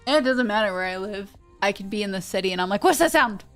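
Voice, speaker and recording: A woman's voice: medium pitch, animated, quick pace, a bit bright. A woman hosting a livestream read-along, chatting casually in a playful, rambling way, with English as her second language. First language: not English. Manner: playful, rambling, breaks into sound imitations. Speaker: livestream host reading pulp science fiction aloud. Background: faint music.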